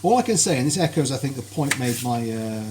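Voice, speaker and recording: A man's voice: mid-range voice, very animated, quick pace, darkish tone. Chatty man cooking live. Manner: chatty, genteel and cheerful, greeting viewers by name. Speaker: cooking streamer. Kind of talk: live cooking stream chat. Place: home kitchen. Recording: kitchen noise with sizzling frying.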